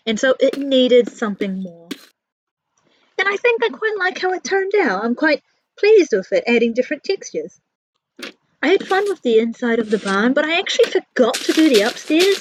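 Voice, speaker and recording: A woman's voice: a little high, a little hiss or hum, low-quality recording. A woman with a New Zealand accent giving a casual, chatty voice-over for a gaming build vlog, candid and self-deprecating. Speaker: video creator, narrator.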